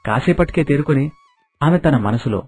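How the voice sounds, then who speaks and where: A man's voice: fairly high pitch, lively intonation, moderate pace, darkish tone, slightly rough. A man reading out a scripted story as a storyteller. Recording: narration over background music.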